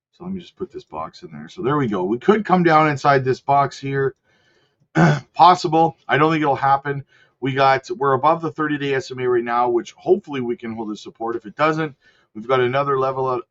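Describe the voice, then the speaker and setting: A man with a cold, host of a channel, casually talking through market charts and hedging his calls, clearing his throat now and then. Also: medium pitch, a bit bright. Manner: rattles off lists, chatty and hedging. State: sick with a cold. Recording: slightly dull lo-fi audio.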